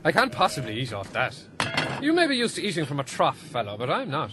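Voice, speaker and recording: An actor playing a proud, excitable young gentleman adventurer in a radio drama. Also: on the high side, fast, background noise. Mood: haughty. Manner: haughty, excitable.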